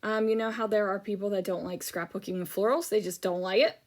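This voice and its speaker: A woman's voice: mid-range voice, fairly even intonation, fast talker. A middle-aged woman hosting a scrapbooking channel, chatting casually and rambling with lots of ums, her voice a little worn from talking. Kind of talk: casual crafting chat over a process video.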